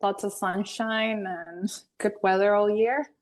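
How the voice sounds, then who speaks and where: A woman's voice: mid-range voice, fairly even intonation, slow. Young woman, from Venezuela, podcast guest, chatty, laughs easily, casual talk. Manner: chatty, laughs often, restarts sentences. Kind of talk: casual interview conversation.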